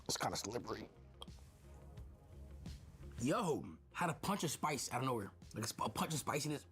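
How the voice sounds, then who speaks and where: A young man with a Dominican accent, chatting excitedly and joking as he tastes and plates food in a restaurant kitchen for his stream. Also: medium pitch, normal speed, faint music behind. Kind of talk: casual food vlog chat.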